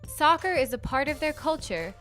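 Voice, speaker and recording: Lively female sports reporter voice-over. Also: sits high, moderate pace. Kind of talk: scripted voice-over narration. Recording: music bed under voice-over.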